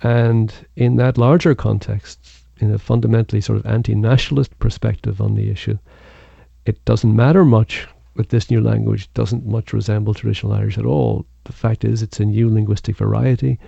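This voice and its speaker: A male university researcher answering an interview in a deliberate, academic way, with a gravelly voice. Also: fairly low pitch, level tone, mellow, no echo, muffled recording.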